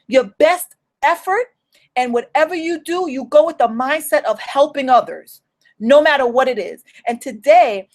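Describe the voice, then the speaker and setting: A high-pitched woman with a New York accent talks straight to her viewers like a business coach. She is earnest and emphatic, circling back over her own words as she presses each point home. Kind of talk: motivational talk to camera.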